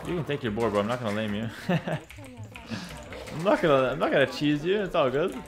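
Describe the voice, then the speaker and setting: Young man streaming, voice a bit raspy. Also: a little high, normal speed, background noise. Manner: relaxed, thinking aloud, reading chat. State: slightly raspy voice. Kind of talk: casual gameplay commentary. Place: small home room, indoors. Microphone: headset.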